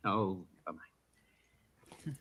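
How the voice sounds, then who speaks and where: A man's voice: low voice, lively intonation, slow pace, bright, forceful tone, slightly rough, heavily acted. A man playing an impatient, worried client in an old radio drama, speaking curtly and brusquely. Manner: curt, brusque. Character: impatient, worried, abrupt middle-aged male client. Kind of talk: 1940s radio acting. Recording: dull lo-fi old radio recording.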